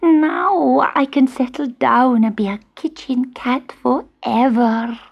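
Storybook kitten: a timid little boy cat, high, breathy, muffled lo-fi recording, put on by a woman. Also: slow pace, full character voice. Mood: delighted. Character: small frightened male witch's kitten.

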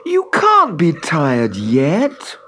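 A man's voice: on the high side, wildly swooping pitch, very slow, sharp tone, slight background noise, pitched above the performer's own voice, heavily acted. A man voicing a frank young boy in a storybook reading.

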